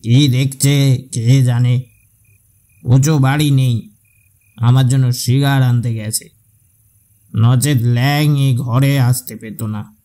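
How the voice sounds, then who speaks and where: A male actor as a brooding diarist in a radio drama. He speaks very slowly in a mellow, dark voice, weighing each suspicion in drawn-out phrases. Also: mid-range voice, close to an everyday voice. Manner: deliberate, musing, drawn-out phrasing. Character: man's diary voice, slow, brooding and suspicious. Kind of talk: dramatic reading of a diary in a radio play.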